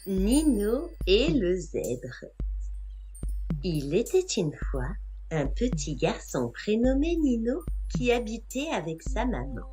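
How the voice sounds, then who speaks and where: A woman narrating a scripted story for young children, expressive and carefully phrased. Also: mid-range voice, slow. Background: music.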